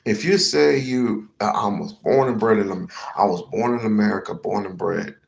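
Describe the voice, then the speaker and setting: A man's voice: lowish voice, moderate pace, vocal fry. A man hosting a heated commentary rant, slangy and swearing, repeating himself for emphasis. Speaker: commentary show host.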